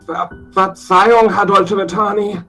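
A man playing a shy young messenger who speaks in a raised, somewhat brighter voice and stumbles over his words. He sounds anxious and unsure, with quiet guitar music behind him. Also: high voice, fairly even intonation, moderate pace, voice pushed up, heavily acted. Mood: timid. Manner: stammering, hesitant. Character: timid, stuttering young male messenger.

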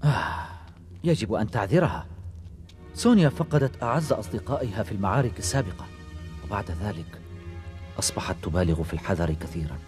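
A man plays an earnest cartoon warrior on a hero team. His voice sits low and moves quickly with lively inflection, explaining things calmly. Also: faint music behind, natural voice. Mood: calm, regretful. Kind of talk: animated action series dub.

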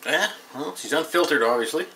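A man's voice: on the high side, expressive, a little fast, pushed and bright, slight background noise. A man in his thirties giving a casual, rambling home beer review, seated at a table and recorded on the camera's mic. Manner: rambling, offhand, reads label aloud. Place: small room at home, indoors.

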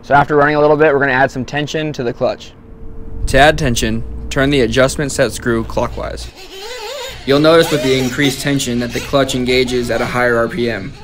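Young guy explaining hobby gear step by step, calm and clear. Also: mid-range voice, a little fast. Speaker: product demonstrator. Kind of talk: scripted product tutorial.

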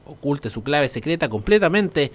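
A man's voice: fairly high pitch, very animated, moderate pace, a little hiss or hum. A man with a Chilean accent narrating a scripted television news report.

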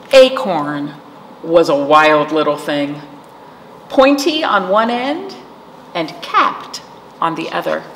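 A middle-aged woman reads a story aloud to young children with a very lively, swooping delivery. She takes her time and speaks to listeners directly. The room rings with reverb. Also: medium pitch. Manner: animated, acting out the story with asides to listeners. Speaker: children's librarian and storytime host. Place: indoor library storytime room. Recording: roomy reverb with faint room noise.

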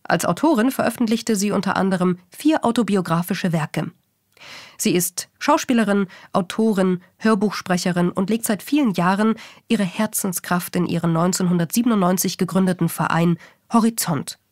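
A woman presenting a podcast in polished, measured scripted narration. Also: mid-range voice, a little mellow, no echo, studio quality. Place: podcast studio, indoors.

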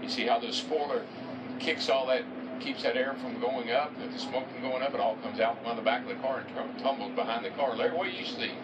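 A man's voice: medium pitch, fairly quick, lo-fi sound. A man giving conversational, explanatory race commentary on a TV broadcast. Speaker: race analyst. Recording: TV audio filmed off a screen, from a distance, with loud noise.

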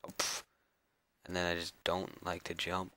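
A young man with a cold and a raspy, scratchy voice, mumbling casual commentary to himself while playing a game. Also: low voice, completely flat delivery, moderate pace. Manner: mumbling, self-talk with frequent fillers and repeats. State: hoarse with a cold. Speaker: gameplay video creator.

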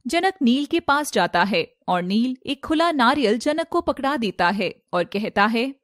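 A woman reading scripted narration as the storyteller of an animated fairy tale. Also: fairly high pitch, measured pace, dry recording, clean studio sound.

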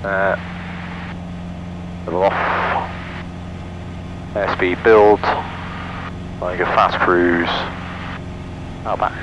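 Calm young man in a cockpit. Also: sits low, very slow, pauses often, highs cut off, background noise. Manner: calm, methodical checklist talk. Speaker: pilot. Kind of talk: flight vlog commentary. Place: small aircraft cockpit. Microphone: headset boom mic.

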